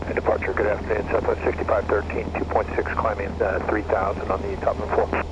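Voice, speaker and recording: A man's voice: lowish voice, flat delivery, quick pace. A man working as a pilot, giving clipped readbacks over an aviation radio. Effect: aircraft radio. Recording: muffled noisy aviation radio.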